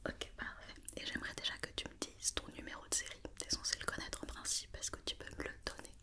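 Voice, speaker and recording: Female ASMR performer, fully whispered, gentle and reassuring clinician giving patient instructions. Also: fast talker. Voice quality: soft unvoiced whisper. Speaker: ASMR roleplayer playing a company doctor examining a robot. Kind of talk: whispered ASMR roleplay in French.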